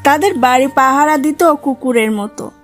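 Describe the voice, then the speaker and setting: A woman's voice: sits high, moderate pace, soft background music. A woman narrating a scripted fairy tale for a cartoon.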